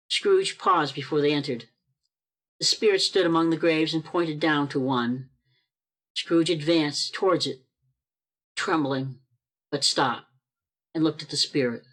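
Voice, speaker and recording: Man, narrator, slightly high voice, steady storytelling, video call. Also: measured pace, long pauses. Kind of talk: read narration for a radio play.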